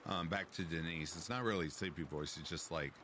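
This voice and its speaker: A man with a deep, raspy voice hosting a livestream, chatting casually and answering comments from the live chat. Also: a little fast, noisy background. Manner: relaxed, chatty, reads chat aloud. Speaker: livestream host.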